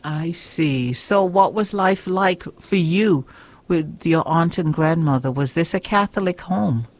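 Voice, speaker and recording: Slow, earnest radio host woman. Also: on the low side. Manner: earnest, preaching tone, reads scripture aloud.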